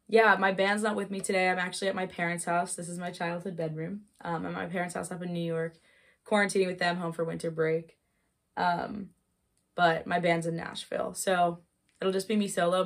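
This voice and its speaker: A woman of twenty-one talking casually from her childhood bedroom at her parents' house, introducing her solo set with quick fillers before playing. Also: on the low side. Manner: chatty and upbeat, quick with fillers. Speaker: singer-songwriter. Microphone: webcam at close range. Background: her own acoustic guitar.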